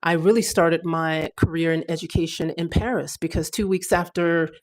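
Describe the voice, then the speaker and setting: A woman's voice: fairly low pitch, steady tone, normal speed. A woman in her fifties hosting a casual livestream, talking in a chatty, rambling way with fillers and restarts. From United States. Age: 50s. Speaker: livestream host.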